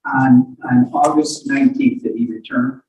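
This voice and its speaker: A man questioning a witness as a lawyer in court, halting and repeating words as he forms his questions. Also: medium pitch, fairly even intonation, noisy background. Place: indoor courtroom. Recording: echoey courtroom, distant microphone.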